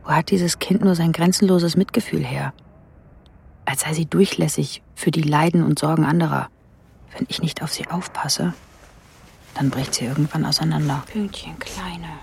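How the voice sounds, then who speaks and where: An actress playing a weary, protective woman in a radio play, speaking in a hushed, guarded, intimate way. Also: low-pitched, moderate pace, vocal fry, slightly rough, full character voice. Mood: pensive. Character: weary, protective adult woman caring for a child. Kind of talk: radio play acting, intimate inner monologue.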